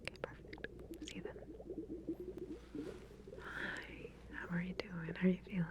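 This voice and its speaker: Woman whispering a gentle ASMR roleplay. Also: lowish voice, level tone, a little slow. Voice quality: soft, breathy whisper. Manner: gentle, reassuring, professional as a lab researcher. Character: calm, attentive female scientist running a medical experiment.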